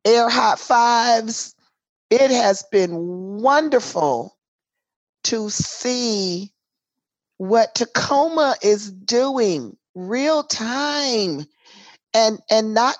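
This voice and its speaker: A hoarse woman co-hosting a casual podcast chat, speaking emphatically and tossing in folksy asides like "girl" and "honey". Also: on the high side, lively intonation, very slow, dry recording. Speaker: podcast co-host. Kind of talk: casual chat between sisters. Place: home in a city in Washington State.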